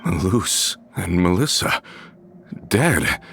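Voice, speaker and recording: An actor playing an earnest, grieving veteran member of an organization in a video game. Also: male voice, sits low, very animated, very slow, vocal fry, fairly bright tone, faint music behind, full character voice. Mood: grieving, stunned.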